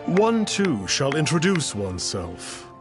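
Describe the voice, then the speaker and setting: A man's voice: on the high side, huge pitch swings, takes it slowly, heavily acted. A man voicing a pompous, theatrical self-styled immortal in an anime game, speaking in a formal, archaic manner. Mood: grand, ceremonious. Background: background music.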